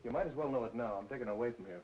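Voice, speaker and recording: An actor playing a troubled, world-weary lover in film noir style, talking in a terse, guarded way. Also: male voice, medium pitch, rapid-fire, a little hiss or hum, close to an everyday voice. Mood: hard, confrontational. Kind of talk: film noir melodrama acting, mid-century. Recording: muffled old film soundtrack with music.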